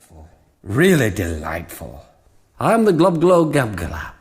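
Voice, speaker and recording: A man's voice: mid-range voice, wildly swooping pitch. An actor playing a gleeful book-loving creature in a theatrical cartoon musical, savoring his words. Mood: delighted. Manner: savoring, drawn-out delivery. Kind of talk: theatrical animated musical character voice. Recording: music under the voice.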